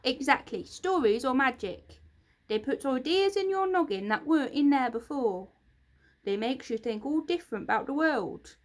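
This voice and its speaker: A woman voicing Grunt, a grumpy, bossy male creaker creature who barks in broken grammar. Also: high voice, lively intonation, normal speed, a little mellow, voice pushed up.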